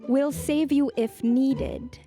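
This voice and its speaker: A woman playing a tabletop game, reading a list out loud to the others. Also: high-pitched, fairly even intonation, slow pace, faint music behind.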